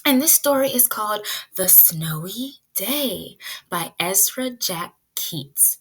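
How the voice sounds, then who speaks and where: A woman teaching pre-kindergarten children in an animated, child-directed way, asking questions as she leads a read-aloud. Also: mid-range voice, slow, breathy edge, poor audio.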